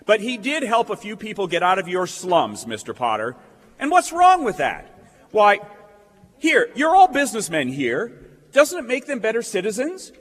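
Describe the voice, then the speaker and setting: Earnest young small-town idealist played by a male actor, high and swooping, impassioned radio-play delivery. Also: wildly swooping pitch, moderate pace, fairly bright tone, exaggerated voice. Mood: indignant. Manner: impassioned, stammering, folksy speech. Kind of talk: staged old-time radio play acting. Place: theatre stage. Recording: reverberant stage hall recording.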